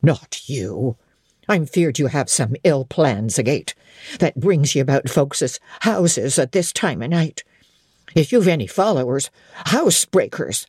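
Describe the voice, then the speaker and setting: Woman putting on a gruff old servant woman, low and husky, storybook. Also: darkish tone, dry recording, clean studio sound, exaggerated voice. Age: older. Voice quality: gruff, plain-spoken. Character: blunt, suspicious old female servant.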